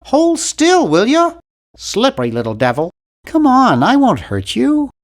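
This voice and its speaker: A man's voice: very high-pitched, wildly swooping pitch, measured pace, heavily acted. A man voicing a nerdy, timid, fussy young hero of a cartoon adventure game, with a prim, wry, bookish delivery. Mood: flustered. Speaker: game character voice actor.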